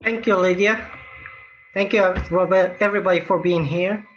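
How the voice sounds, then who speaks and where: A young man from Morocco, giving an earnest startup pitch over a video call and often restarting his phrases. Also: steady tone, normal speed, slight background noise. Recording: muffled video-call audio.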